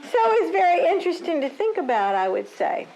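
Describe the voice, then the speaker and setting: A woman's voice: extremely high pitch, big pitch swings, a little fast, darkish tone, full character voice, poor audio. A woman playing a chirpy, self-serving suburban club candidate in a comedic audio drama, rambling and blithely tactless. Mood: gleeful, mock-solemn.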